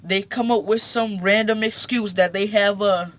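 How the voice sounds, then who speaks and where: A teenage boy talking casually to his viewers, halting and repeating words, then growing emphatic as he makes his point. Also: high-pitched, steady tone, fairly bright tone. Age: teens. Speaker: YouTuber. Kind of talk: casual talk to camera. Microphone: phone.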